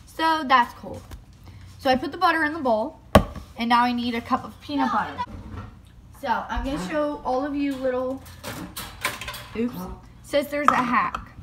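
A woman's voice: high voice, unhurried, pauses often, heavy background noise. A young woman rambling casually and mocking herself while baking in her home kitchen. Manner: chatty, self-mocking, rambling. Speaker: vlogger. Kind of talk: casual vlog narration.

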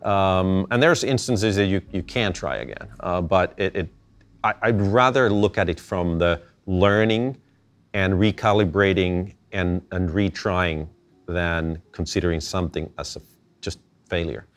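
A middle-aged man from Finland, answering interview questions thoughtfully, with halting restarts. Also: deep voice. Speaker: interview guest. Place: indoor gallery space.

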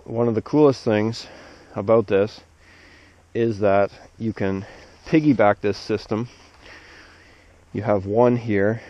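A man, an arborist instructor, calmly walking through tying a knot step by step outdoors in the snow on a head-mounted camera. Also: on the low side, dry recording. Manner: steady, plain step-by-step explaining. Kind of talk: hands-on knot demonstration. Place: outdoors among snowy trees. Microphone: head-mounted action camera. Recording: dull lo-fi camera audio.

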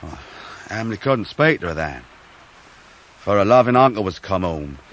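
A man's voice: fairly low pitch, lively intonation, fairly bright tone, slight background noise. Humble fisherman. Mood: troubled. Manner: halting, deferential, earnest. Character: humble, tender-hearted working-class young fisherman. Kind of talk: 1990s radio drama acting.

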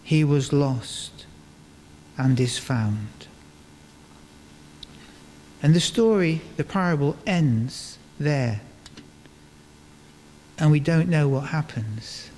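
The voice comes through long church reverb with some clear background noise. It belongs to an older man preaching a sermon at a slow pace. He sets out short phrases with deliberate pauses. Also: a little high, expressive, darkish tone. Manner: measured phrasing with deliberate pauses and rhetorical questions. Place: large stone church, indoors, before a congregation. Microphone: microphone on a stand.

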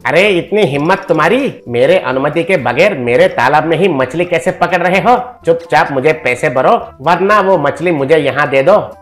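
Guy doing a bossy greedy rich village bully for a cartoon, highish voice, music under it. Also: moderate pace, fairly bright tone, close to an everyday voice. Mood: angry, demanding. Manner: domineering, threatening. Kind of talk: Hindi animated moral story dialogue.

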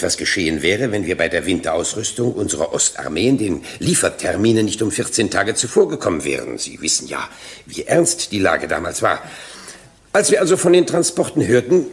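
An actor playing a self-righteous, evasive witness in his sixties in a radio drama, emphatic and self-justifying. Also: fairly low pitch. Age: 60s. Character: self-righteous, evasive 61-year-old male sales agent testifying. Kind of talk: German radio drama acting.

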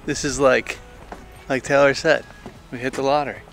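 A young man with a mid-pitched voice talks casually to camera as a travel vlogger. He sounds laid-back yet enthusiastic, using plenty of slang, with some ambient noise around him. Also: fairly even intonation, moderate pace, vocal fry, fairly bright tone. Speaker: travel vlog host.

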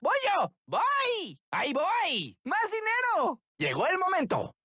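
A cheeky little cat taxi driver for a video game. The voice is very high and wildly swooping, exclaiming short catchphrases in a dry, close recording. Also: male voice, wildly swooping pitch, slow pace, pushed and bright, no room sound, shouting, heavily acted. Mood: eager, cheeky. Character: small excitable male cat cab driver, cheeky. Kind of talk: video game voice clips, Latin American Spanish dub.

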